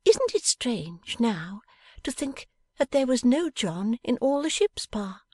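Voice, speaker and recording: A woman reading an audiobook, voicing a playful, affectionate young wife in a high, light, wheedling voice. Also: big pitch swings, slow pace, warm tone, no room sound, studio quality, heavily acted. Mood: wistful.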